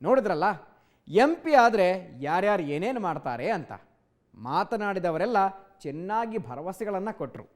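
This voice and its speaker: A man's voice: high voice, sing-song, a little slow, long pauses, slight background noise. A man presenting a television programme in a scripted style.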